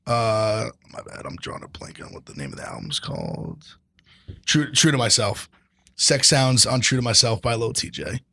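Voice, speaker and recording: A man with a deep, raspy baritone chatting loosely on a podcast. His pitch sits in the ordinary middle range. He keeps a laid-back flow with plenty of "like" fillers. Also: sing-song, normal speed, sharp tone. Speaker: podcast co-host. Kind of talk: casual chat.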